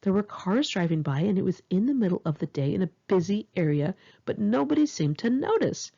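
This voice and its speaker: Female author-narrator, candid and conversational memoir read, lively intonation, gravelly fry, slightly dull home-recorded sound. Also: medium pitch, brisk. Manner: candid, wry, profane, conversational. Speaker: author reading own memoir. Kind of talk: memoir audiobook narration with casual asides.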